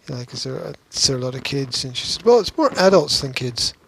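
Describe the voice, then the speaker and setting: A man hosting a casual podcast, talking in a halting, opinionated and argumentative way with emphatic stresses. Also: medium pitch, big pitch swings, creaky, a bit bright, slightly rough. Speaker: podcast host.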